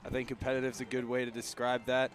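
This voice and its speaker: A man giving live baseball analysis on the radio in a conversational, slightly halting way, outdoors at a ballpark. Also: medium pitch, completely flat delivery, faint music behind. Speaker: color commentator.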